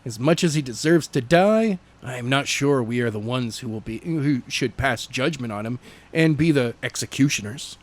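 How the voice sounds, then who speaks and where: A man's voice: medium pitch, lively intonation, moderate pace, lightly acted. A man voicing a conscientious, hesitant young hero, reasoning earnestly in an amateur audiobook reading.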